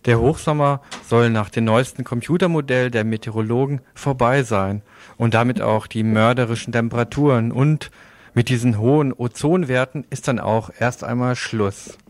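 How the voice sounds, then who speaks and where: A man's voice: mid-range voice, moderate pace, dry recording. A man presenting a scripted radio news commentary in a sardonic manner, putting rhetorical questions to listeners.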